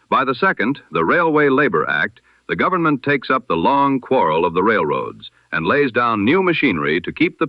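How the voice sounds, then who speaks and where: A man reading a scripted news bulletin as a 1920s radio announcer, in a solemn, formal, measured oratorical manner. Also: lowish voice, dry recording, lo-fi sound.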